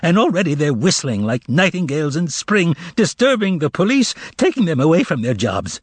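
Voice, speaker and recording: A man's voice: mid-range voice, wildly swooping pitch, measured pace, no echo. A man voicing a theatrical male trickster and former choirmaster, with a thin, tremulous voice and a wailing, sarcastic harangue. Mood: indignant.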